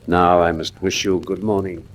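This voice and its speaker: A man playing a shrewd, courteous, commanding gentleman detective in a radio drama, with a precise, formal delivery. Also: low-pitched, level tone, normal speed, lightly acted. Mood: firm, probing.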